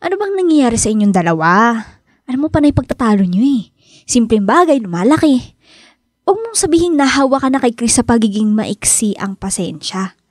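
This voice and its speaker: A young Filipina bride-to-be, with a high voice that swings widely as she nags and teases. She is insistent and expressive, and the recording is dry and close. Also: no echo. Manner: nagging, expressive, quick to press questions. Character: insistent, teasing young bride-to-be, best friend. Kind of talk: Tagalog radio drama acting.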